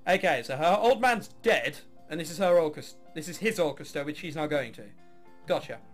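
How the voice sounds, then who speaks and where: A man's voice: on the high side, expressive, brisk, faint music behind. A man doing a let's play of a visual novel, narrating and throwing in sarcastic, mock-exasperated asides. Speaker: gamer reading and commenting on a visual novel.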